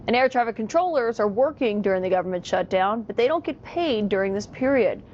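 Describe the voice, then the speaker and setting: A woman's voice: medium pitch, expressive, a little fast, a little mellow. A woman reporting the news as a television reporter. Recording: dull, noisy news clip.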